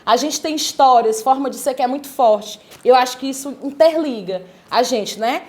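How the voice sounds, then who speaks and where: A woman's voice: high voice, moderate pace, a little mellow. A woman from Ceará with a Cearense accent, giving reflective interview answers full of tag questions. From Sobral, Ceará, Brazil. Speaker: guest, cultural worker.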